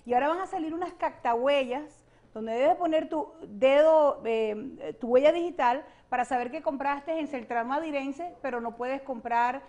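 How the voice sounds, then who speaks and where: Venezuelan woman, high voice, fiery, emphatic, studio guest. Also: normal speed, mellow. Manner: impassioned, emphatic, repetitive. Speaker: guest, political analyst. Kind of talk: interview. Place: television studio set.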